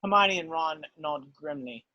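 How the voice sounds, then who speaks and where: A man's voice: a little high, normal speed, pushed and bright, dry recording, dull audio. A man reading stage directions as narrator in a scripted style.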